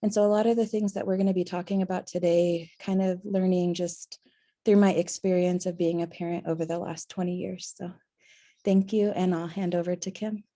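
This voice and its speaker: Slightly hoarse woman with a mellow voice. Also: fairly low pitch, little pitch movement. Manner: earnest, with pauses and "um" fillers. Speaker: presenter. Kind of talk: webinar presentation.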